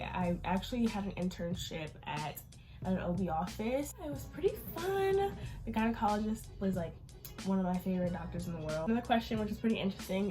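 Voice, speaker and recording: An eighteen-year-old woman vlogger with a nasal, congested voice from allergies, chatting casually through a Q&A. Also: mid-range voice. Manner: chatty, informal, lots of fillers. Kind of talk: casual Q&A chat to camera.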